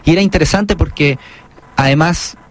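A man's voice: fairly high pitch, moderate pace. A man, a book author talking as a guest in an interview, hesitant with many restarts and fillers. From Chile. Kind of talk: casual interview conversation.

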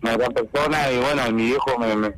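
A man's voice: mid-range voice, fairly even intonation, a little fast, low-quality recording. A young man from Patagonia with an Argentine accent, chatting informally as a boxer in a radio interview. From Puerto Madryn, Chubut, Argentina. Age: young adult. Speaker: professional boxer, interview guest.

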